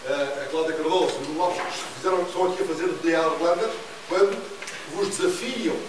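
Man, middle-aged, rapid rambling panel talk, distant mic, noisy reverberant room. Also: on the high side. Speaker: panelist speaking about radio reporting. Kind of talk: fast, rambling public talk with many restarts. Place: library event room with audience. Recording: echoey hall, distant mic, noise.

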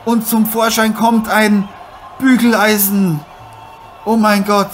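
Man playing a melodramatic, higher-voiced boxing commentator. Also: level tone, slow pace, faint music behind. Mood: frantic, excited. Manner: melodramatic, repeating exclamations. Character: excitable American boxer Sugar John commentating, theatrically shocked. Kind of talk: improvised parody live sports commentary.

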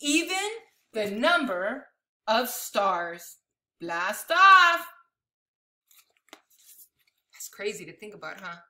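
A woman's voice: medium pitch, sing-song, unhurried, fairly bright tone. A woman in her thirties reading a picture book aloud expressively to young children like a schoolteacher, with chatty asides spoken directly to the listeners. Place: living room at home, indoors. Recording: echoey home room.

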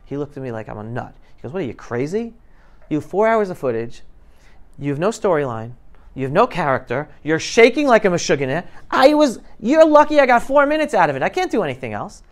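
A man's voice: on the high side, sing-song, fairly quick. A middle-aged man giving an emphatic, colloquial storytelling talk to students, acting out conversations as he goes. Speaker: guest speaker, filmmaker telling his story. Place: school classroom, indoors. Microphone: clip-on lapel mic.

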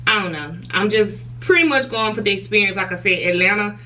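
A woman's voice: medium pitch, fairly quick, pushed and bright, recorded from a distance, low-quality recording. A young woman, talking frankly to camera in a hotel room, laughing often. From Southern US. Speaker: vlogger. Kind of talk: casual chat to camera.

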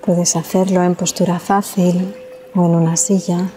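Very slow, mellow Spanish woman guiding meditation. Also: lowish voice, slight background noise. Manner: calm, soothing, unhurried with long pauses. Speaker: meditation guide. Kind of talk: guided meditation.

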